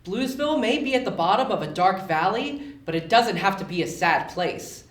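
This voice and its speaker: A woman voicing an eager, cheerful young male elf in an animated children's storybook readalong. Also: medium pitch, moderate pace, natural voice. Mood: upbeat. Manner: exclamatory, animated. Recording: roomy, distant mic.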